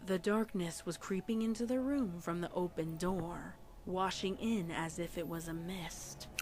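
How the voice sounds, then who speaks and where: A woman's voice: fairly low pitch, a little slow. A woman giving a scripted, dramatic narration of a story. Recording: loud music behind voice. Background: music.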